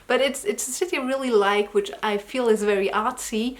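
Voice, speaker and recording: A woman's voice: on the high side, fairly even intonation, a little fast. A woman who grew up in Germany, tired, chatting loosely in a personal vlog update as an artist, often correcting herself and laughing lightly. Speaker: artist vlogger.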